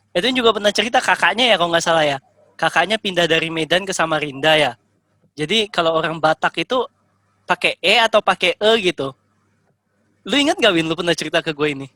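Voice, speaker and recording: A man's voice: on the high side, animated, brisk, long pauses, bright, forceful tone, no echo. A man chatting casually with friends, asking questions and laughing often. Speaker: podcast co-host. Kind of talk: casual chat among friends.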